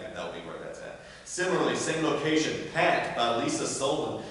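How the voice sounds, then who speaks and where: A man's voice: mid-range voice, fairly bright tone. Man announcing from afar in an echoey hall. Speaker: theater company director hosting event. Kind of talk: informal event announcements to an audience. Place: large indoor theater hall, distant microphone. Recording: distant, very echoey hall, faint noise.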